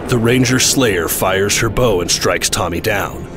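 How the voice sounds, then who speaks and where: A man's voice: lowish voice, a little slow. A man reading scripted narration for an action audio drama. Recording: voice over loud trailer music.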